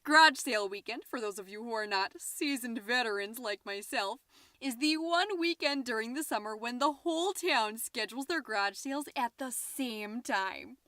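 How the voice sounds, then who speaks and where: A woman's voice: a little high, animated, normal speed, bright, forceful tone, dry recording, clean studio sound. A woman playing a chatty, scatterbrained, overexcited middle-aged suburban mom who hosts a comedy podcast, rambling, interrupting herself and stressing words theatrically. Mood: chirpy, self-satisfied. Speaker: podcast host. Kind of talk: comedic character monologue as a homemade podcast.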